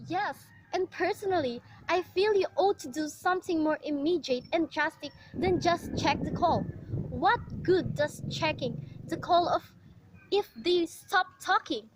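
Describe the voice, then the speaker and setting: Radio play invalid wife, played by a woman: extremely high voice, anxious, imperious, insistent and pleading. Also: expressive, a little slow, noisy background. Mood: fretful, insistent. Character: anxious, demanding bedridden wife, self-pitying and imperious. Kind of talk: amateur radio play acting.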